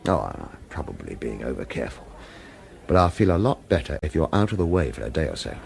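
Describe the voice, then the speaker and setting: A man's voice: a bass voice, very animated, fast talker, creaky, a little mellow, exaggerated voice. An actor playing a wary hitman who narrates a radio drama and speaks protectively, with hesitant reassurance. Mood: earnest, cautious. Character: wary male hitman narrator, protective of his lover, evasive. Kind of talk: radio drama acting with first-person noir narration.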